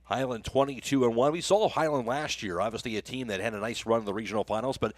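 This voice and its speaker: A man's voice: medium pitch, fast, no room sound. An American man calling a baseball game live as a radio play-by-play announcer, in clipped phrases that follow the action.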